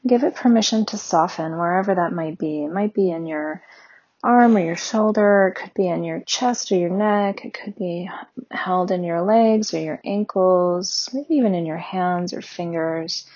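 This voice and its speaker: A woman leading a guided meditation with slow, soothing, gentle instructions. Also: on the low side, dull audio.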